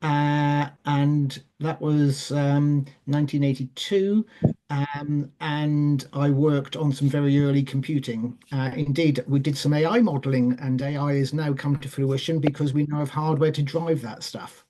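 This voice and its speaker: Man, 60s, measured storyteller on a video call, voice sits a bit high. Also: flat delivery, warm tone. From Wales. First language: Welsh. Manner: measured, with frequent ums and self-repeats. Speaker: forum guest speaker. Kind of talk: online forum talk, telling a personal story.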